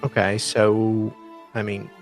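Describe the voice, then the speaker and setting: A man's voice: on the low side, monotone, slow, faint music behind. A man co-hosting a dramatic reading and commentary, with a theatrical, mocking way of talking. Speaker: co-host.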